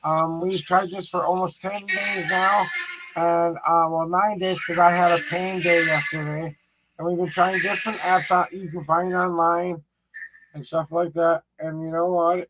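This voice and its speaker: A man with a gravelly voice talking casually on a live stream as a paranormal investigator, rambling and repeating himself as he shows objects. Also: on the high side, a bit bright, a little hiss or hum, low-quality recording.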